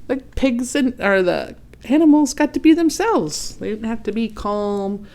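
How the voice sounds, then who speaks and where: A woman reading a children's storybook aloud expressively, pausing to ask her young listeners questions and add comments. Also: fairly high pitch, lively intonation, darkish tone. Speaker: read-aloud host.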